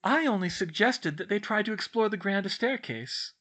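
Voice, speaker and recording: Man voicing a high-pitched, brisk, clever schoolgirl. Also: very animated, bright, forceful tone, exaggerated voice. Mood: smug, casual. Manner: brisk, explanatory, matter-of-fact. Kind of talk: audiobook character voice.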